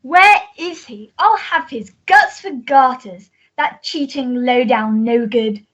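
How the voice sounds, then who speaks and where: A woman playing a jealous, vengeful jilted sweetheart, theatrical and drawn-out, in a radio melodrama. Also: high voice, a bit bright, lo-fi sound, heavily acted. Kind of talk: melodramatic radio play acting.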